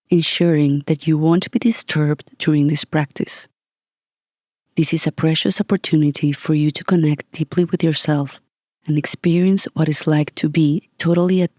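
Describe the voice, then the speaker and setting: A meditation guide reading a scripted session in a calm, gentle, soothing manner with long pauses. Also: mid-range voice, dry recording, studio quality.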